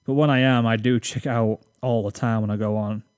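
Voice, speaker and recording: A man's voice: mid-range voice, fairly even intonation, fairly quick, a little mellow, clear, resonant tone, dry recording, clean studio sound. A British man reading a scripted reflection to his channel's viewers in a rambling, self-deprecating, slightly awkward way, sitting at a desk microphone. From Britain. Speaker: YouTube channel host.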